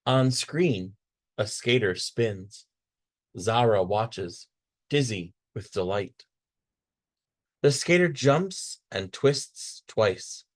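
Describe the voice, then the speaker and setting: Guy reading a kids picture book out loud, really expressive, takes his time. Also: fairly low pitch, drawn-out words, pauses often. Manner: expressive, dramatic storytelling delivery. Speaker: storybook reader. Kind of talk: children's picture book read-aloud.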